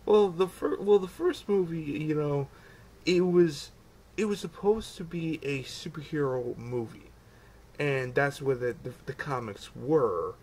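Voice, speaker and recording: A man's voice: a little high, unhurried, darkish tone. A man in a casual fan discussion, stumbling over and repeating his words and swearing now and then. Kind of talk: casual fan chat about comic book films. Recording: noticeable background noise, amateur recording.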